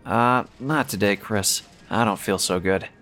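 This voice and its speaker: A man's voice: fairly low pitch, a little slow, a bit bright. A man playing a mild, humble store sweeper in a radio play, speaking plainly and simply. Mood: subdued.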